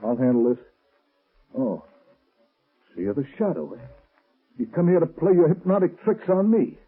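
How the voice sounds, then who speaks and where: An actor playing a domineering, worried racketeer boss, curt and blustering, in an old 1930s radio drama recording. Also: male voice, on the high side, sing-song, normal speed, husky. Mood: rattled, defiant. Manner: curt, blustering, hard-boiled. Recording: muffled, band-limited old radio recording.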